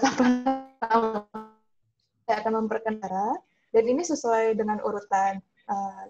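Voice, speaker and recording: A woman's voice: medium pitch, dark tone. A woman moderating an online public discussion in a polite, hesitant way with many fillers, heard over a video call. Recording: dull lo-fi video call audio.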